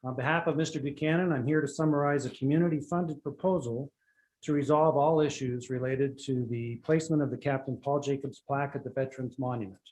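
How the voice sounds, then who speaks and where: Older man, quiet voice, retired veteran, formal public statement. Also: medium pitch, fairly even intonation, a little fast, poor audio. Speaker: public speaker for an appellant.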